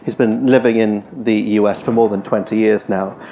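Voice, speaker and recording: A man's voice: on the low side, steady tone, a little fast, dark tone, a little hiss or hum. A man moderating a panel discussion on a festival stage, speaking with frequent hesitant fillers. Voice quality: hesitant, filler-heavy phrasing. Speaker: event chair.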